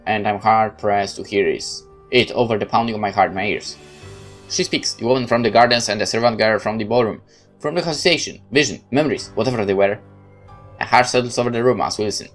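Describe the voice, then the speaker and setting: A man giving a theatrical first-person reading of a horror visual novel. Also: lowish voice. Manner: measured, theatrical narration. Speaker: game let's-play narrator.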